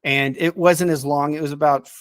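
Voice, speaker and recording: A man hosting a casual podcast, talking enthusiastically but haltingly as he searches for names and details. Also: a little high, steady tone, no echo, clean studio sound. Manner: enthusiastic about the subject. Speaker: podcast host.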